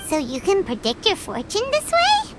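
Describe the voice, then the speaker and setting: Tiny fairy sidekick for a game: extremely high, squeaky, wildly swooping, excitable, music underneath. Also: female voice, moderate pace, very noisy, full character voice. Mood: puzzled. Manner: squeaky, childlike, talks about self in third person. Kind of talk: animated video game voice acting. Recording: loud game music behind voice.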